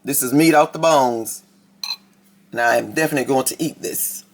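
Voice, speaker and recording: A woman with a raspy voice, chatting casually to her viewers in a home kitchen cooking vlog. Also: very deep voice, moderate pace. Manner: chatty, talks straight to viewers. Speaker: cooking vlogger.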